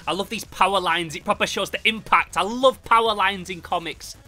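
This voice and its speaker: A man reviewing a comic book in an animated, sweary storytelling style. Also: high-pitched, brisk, soft background music. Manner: excitable, foul-mouthed, makes sound effects.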